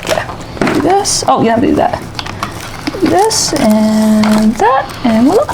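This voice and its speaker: A woman's voice: a little high, very animated, slow pace, vocal fry, heavy background noise. A woman helping out in a home kitchen, chatting casually in brief interjections. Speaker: cooking helper and co-presenter. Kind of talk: casual chat.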